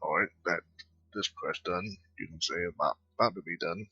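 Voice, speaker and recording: Very deep, husky, sharp-edged gamer guy. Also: moderate pace, no echo. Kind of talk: casual let's-play commentary, reading game dialogue aloud. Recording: close, dull lo-fi microphone.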